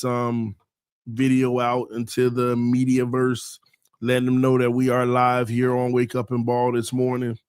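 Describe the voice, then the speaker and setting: A man's voice: mid-range voice, smooth, no room sound, studio quality. A man hosting a solo live sports show in a sing-song, hyped morning delivery, saying he is losing his voice.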